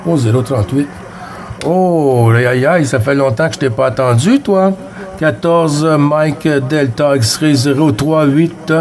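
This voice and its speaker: A man from Quebec with a Québécois French accent, chatting casually with another operator over CB radio. He is friendly and unhurried, and reads out call signs and signal reports. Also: a little high, darkish tone. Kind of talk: casual radio contact chat.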